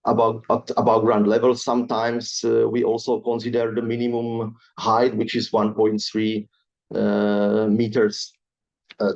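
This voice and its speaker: A man from the gives an academic webinar talk in mid-pitched, careful English. He fills his pauses with steady "uh"s as he works through his slides. The sound comes through a dull, lo-fi video call line. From Czech Republic. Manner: hesitant, many filler sounds, careful non-native phrasing. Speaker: researcher presenting a webinar.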